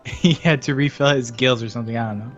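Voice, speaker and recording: Guest co-commentator: male, slightly lo-fi call sound, relaxed jokey banter over faint music. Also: mid-range voice, fast. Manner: joking, giggly. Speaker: guest co-commentator on game stream. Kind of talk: casual chat. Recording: muffled voice-chat audio, music behind. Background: game music.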